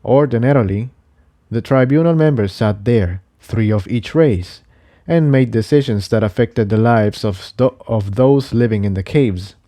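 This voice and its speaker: A man's voice: mid-range voice, unhurried, dark tone. A man narrating an adventure story from a script. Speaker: narrator reading fan fiction.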